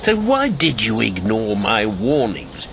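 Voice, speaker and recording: Bitter old ex war agent in a radio play, slow n wry, noisy ambience behind him. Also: male voice, fairly low pitch, wildly swooping pitch, gravelly, fairly bright tone, full character voice. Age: older. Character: bitter, haunted older male former wartime secret agent. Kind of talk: radio drama acting.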